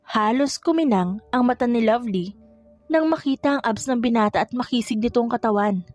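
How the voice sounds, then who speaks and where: A woman's voice: a little high, a little fast, darkish tone. A woman reading scripted romance fiction as an audiobook narrator. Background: music.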